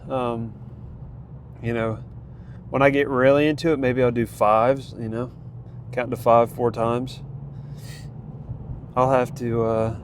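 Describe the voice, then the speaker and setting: A man's voice: medium pitch, flat delivery, slow pace, pauses often. A man with a congested voice giving a rambling, casual vlog update on his training, full of fillers and restarts. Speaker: vlogger tracking a training program. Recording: noisy outdoor recording.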